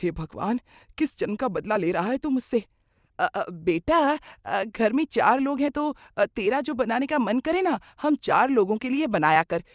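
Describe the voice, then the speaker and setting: A woman's voice: a little high, lively intonation, measured pace, no room sound, clean studio sound, pitched above the performer's own voice. A woman playing a middle-aged mother-in-law, kindly but grumbling and dramatic, in a moral cartoon story. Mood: exasperated, then awkward, coaxing.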